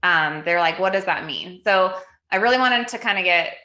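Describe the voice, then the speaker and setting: A woman's voice: on the low side, moderate pace, bright, forceful tone, reverberant. A woman co-hosting a podcast, opening the topic in a chatty way with many fillers. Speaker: podcast co-host. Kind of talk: casual chat.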